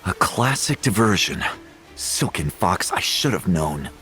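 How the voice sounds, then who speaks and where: Excitable game sidekick, low voice, swooping intonation, dramatic, music behind. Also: male voice, unhurried, full character voice. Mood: alarmed. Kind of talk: English game voice acting.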